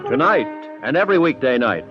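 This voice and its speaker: A man's voice: medium pitch, sing-song, a little fast. A man announcing a 1950s radio serial in a formal scripted style. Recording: dull 1950s broadcast, music behind.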